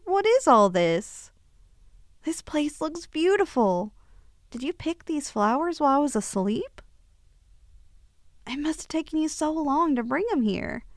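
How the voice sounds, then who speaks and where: A woman plays a sweet young woman in an ASMR roleplay, bashful and affectionate. Her voice sits high and she takes her time, hesitating softly between thoughts. The sound is close and very dry. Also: dry recording, exaggerated voice. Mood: delighted, affectionate. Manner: soft, hesitant, affectionate, sighing. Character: sweet, bashful young woman shrunk tiny, tenderly confessing feelings.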